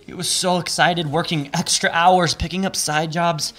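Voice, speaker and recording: A man's voice: fairly high pitch, flat delivery, a bit bright, lightly acted. A man playing a grieving, shaken robbery survivor giving emotional testimony in an audio drama. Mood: sombre. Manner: halting, emotional testimony turning to storytelling. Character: shaken, grieving male bank robbery witness, prickly, raw. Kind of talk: audio drama acting, first-person narration.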